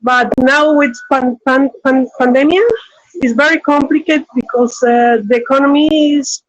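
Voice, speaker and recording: A woman from Colombia with a Colombian Spanish accent, a Spanish speaker talking halting, apologetic English on a phone as a guest in a casual chat. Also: sits high, fairly even intonation, muffled recording. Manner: halting, searching for words, apologetic.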